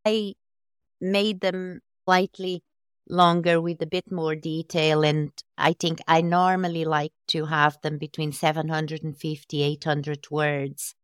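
A woman hosting a podcast interview, asking slow, thoughtful questions about writing children's books. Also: deep voice, flat delivery, clear, resonant tone, dry recording, studio quality. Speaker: podcast host, children's author.

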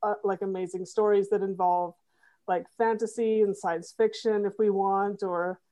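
A woman with a mellow, darker voice, speaking as a podcast guest. She explains her comedy work earnestly and rambles a little, filling pauses with fillers. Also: medium pitch, fairly even intonation. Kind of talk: casual interview.

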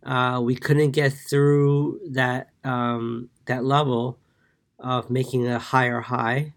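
A man's voice: mid-range voice, level tone, slow. A man hosting a market podcast, congested from a cold, giving a casual daily recap with many fillers while reading numbers from his notes. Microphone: podcast mic.

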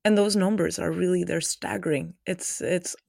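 A woman's voice: lowish voice, steady tone, normal speed, gravelly, darkish tone, dry recording, clean studio sound. A woman from Norway hosting an interview podcast, asking questions thoughtfully with some hesitation. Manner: thoughtful, hesitant, many fillers. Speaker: podcast host, clinician. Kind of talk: interview conversation.

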